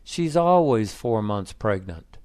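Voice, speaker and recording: A man's voice: fairly low pitch, expressive, slow pace, darkish tone, dry recording. Man doing a wry gossipy guy in a read-aloud story, dry sound.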